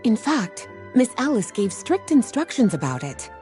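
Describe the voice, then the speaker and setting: A young magic librarian from a video game, in a mellow, dark-toned voice with plenty of melodic movement. She is composed and knowing, with a gentle teasing streak, and music plays behind her. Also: a little high, sing-song, moderate pace. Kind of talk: video game character acting.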